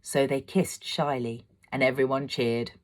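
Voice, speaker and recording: A woman with a low voice narrates a children's storybook. She reads expressively, as an amateur storyteller sharing a chapter. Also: fairly even intonation, measured pace. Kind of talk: scripted narration of a children's story, read aloud.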